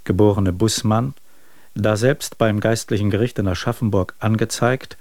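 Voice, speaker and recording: Schauspieler als amtlicher, unpersönlicher Gerichtsschreiber. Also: male voice, fairly low pitch, darkish tone, no echo, lightly acted. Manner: official, impersonal. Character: formal court clerk reading an official complaint. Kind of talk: radio drama official announcement.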